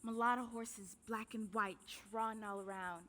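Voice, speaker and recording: Woman acting a bright-voiced, defiant young daughter, echoing hall. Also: a little high, steady tone, normal speed. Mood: wistful, dreamy. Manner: plaintive, dramatic storytelling and arguing. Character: defiant, anxious young enslaved daughter, dreamer. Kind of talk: stage play acting. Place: indoor theatre stage. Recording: echoing theatre hall, filmed live performance.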